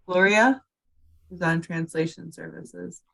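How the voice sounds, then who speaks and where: A woman's voice: fairly low pitch, steady tone, takes it slowly, gravelly, bright, forceful tone. A woman facilitating an informal online meeting, giving short, appreciative responses.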